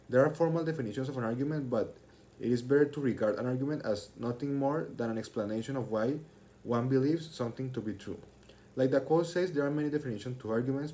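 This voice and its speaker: A man's voice: medium pitch, warm tone, slight background noise. A young man, a student, delivering a prepared class speech in a formal, expository manner, as if reading from his text. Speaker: student speaker.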